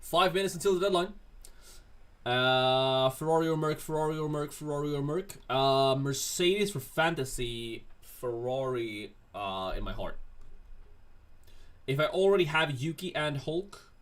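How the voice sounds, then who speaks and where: A man's voice: on the high side, expressive, a little slow, fairly bright tone. Casual man hosting a livestream. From Sweden. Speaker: livestream host answering chat questions. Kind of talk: casual livestream chat, reading and answering viewer questions.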